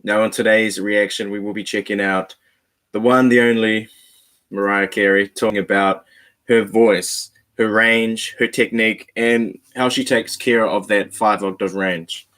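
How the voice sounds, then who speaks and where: A man's voice: fairly low pitch, steady tone, unhurried, fairly bright tone. A man hosting a reaction video, talking straight to his viewers in a laid-back, slangy way. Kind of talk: casual reaction commentary.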